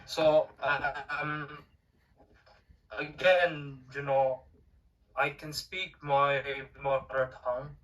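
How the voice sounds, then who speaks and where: A young man with an accent that blends Cork with Filipino, speaking as a guest in casual conversation. His voice is bright and forceful. He sits a little back from the mic and keeps stopping on fillers and restarts. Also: on the high side, level tone, extremely slow, recorded from a distance, rough recording. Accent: mixed Cork and Filipino. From Ireland. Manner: hesitant, many fillers and restarts. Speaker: podcast guest.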